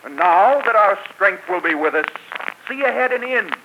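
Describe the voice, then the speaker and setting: An actor playing a gallant, good-humored outlaw leader in a 1940s radio drama, speaking in a courtly, old-fashioned way. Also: male voice, high-pitched, lively intonation, moderate pace. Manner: courtly, archaic, laughing. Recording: noisy 1940s radio broadcast.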